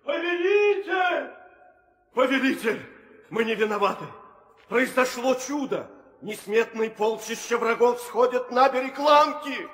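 Casting: panicky, grovelling demon minion, very high voice, echoing old radio drama. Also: male voice, lively intonation, measured pace, a bit bright, exaggerated voice. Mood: panicked. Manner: pleading, panicky. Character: terrified, cringing male demon servant. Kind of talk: old radio play acting. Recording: echoey, long reverb old drama recording.

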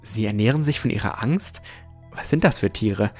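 Man playing a low-voiced, introspective young fantasy swordsman. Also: moderate pace, natural voice. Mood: puzzled, resolute. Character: young armored male swordsman hero, puzzled but determined. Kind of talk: first-person narration in a fantasy audio drama. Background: music.

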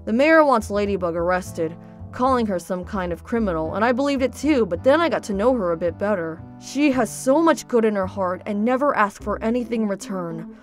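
A woman voicing an earnest, hesitant, soft-hearted teenage boy in a fan comic dub. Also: mid-range voice, expressive, brisk, natural voice. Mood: torn, earnest. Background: music.

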